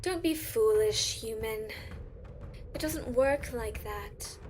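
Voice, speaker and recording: Haughty, slow-speaking high-voiced actress as a female judge. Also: a little hiss or hum. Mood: disdainful. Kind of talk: fantasy audio drama acting. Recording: loud music under the voice.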